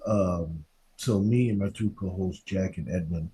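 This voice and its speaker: A man with a fairly low, mellow voice chats casually as a podcast host. He speaks haltingly and repeats his phrases, with faint noise behind him. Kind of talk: casual chat.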